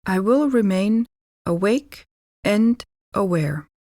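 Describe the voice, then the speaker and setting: Female yoga nidra guide, medium pitch, very slow calm delivery with gentle pauses, close dry recording. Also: no echo. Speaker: yoga nidra meditation teacher. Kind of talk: guided relaxation meditation instruction.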